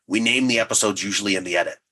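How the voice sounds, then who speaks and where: A man talks through his creative process as an interviewed writer. His voice sits fairly low, with a forward, bright edge and some rasp, and he explains things with modest self-deprecation. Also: very fast. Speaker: audio drama writer and creator. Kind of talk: interview chat.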